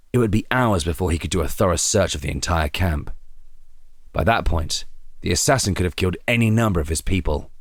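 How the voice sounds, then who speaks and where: Low voiced guy reading a dramatic story, really animated, dry close sound. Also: dry recording. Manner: expressive, suspenseful storytelling. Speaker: narrator. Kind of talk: dramatic scripted story narration.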